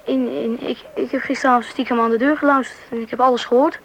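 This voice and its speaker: A woman's voice: fairly high pitch, fairly even intonation, quick pace, lightly acted, poor audio. A woman playing a curious, anxious schoolboy who narrates and acts in a Dutch radio play. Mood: anxious, questioning.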